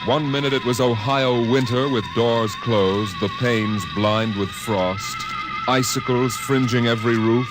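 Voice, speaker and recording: A man giving solemn, dramatic 1950s radio narration in a measured, theatrical manner. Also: mid-range voice, steady tone. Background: music.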